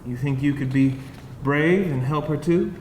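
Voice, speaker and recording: A man's voice: a little high, a little slow, a little mellow, natural voice. A man playing a tender grandfather in a roomy hall. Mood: tender, loving. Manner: tender, reassuring. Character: gentle, protective grandfather comforting a grieving granddaughter. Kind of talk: live screenplay table read. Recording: roomy live hall, faint noise.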